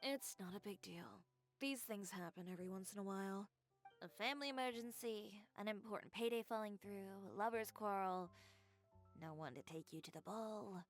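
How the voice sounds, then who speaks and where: A woman's voice: medium pitch, moderate pace, faint music behind, natural voice. Woman playing a cool, worldly dressmaker. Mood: calm. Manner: calm, cool and businesslike. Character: composed, worldly female tailor, adult. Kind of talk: video game voice acting.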